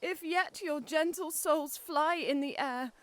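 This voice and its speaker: A woman's voice: sits high, slow. A grieving widowed queen. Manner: lamenting, pleading. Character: grieving widowed queen mourning her sons. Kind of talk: Shakespearean audio drama acting.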